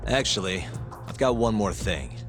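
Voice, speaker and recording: Deep-voiced, probing investigator played by a man. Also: animated, normal speed, over music, lightly acted. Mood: probing, confident. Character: shrewd, dogged male investigator. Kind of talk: English video game cutscene acting.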